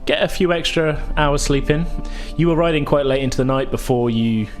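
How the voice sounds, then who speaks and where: A man's voice: fairly high pitch, a little fast, soft background music. A man running a tabletop game, narrating casually in an easygoing, hesitant way. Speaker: game master.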